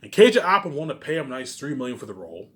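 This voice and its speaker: A man's voice: medium pitch, very animated, racing. A young adult man giving a rambling, casual monologue in a brash, boastful, repetitive way, with some swearing. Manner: repetitive, tacks on stock words at phrase ends. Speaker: aspiring film maker.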